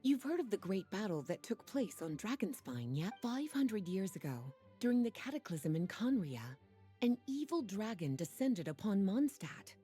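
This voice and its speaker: A poised game sorceress-librarian, speaks in a breathy, lower-set voice. Her intonation is expressive and lilting. She sounds unhurried, knowing and playfully teasing, with faint music behind her. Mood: knowing, explanatory. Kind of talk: video game character dialogue.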